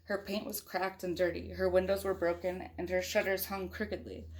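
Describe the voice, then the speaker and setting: A woman's voice: fairly low pitch, little pitch movement, moderate pace. A woman reading a picture book aloud to young children in a conversational way, with chatty asides and questions to her listeners.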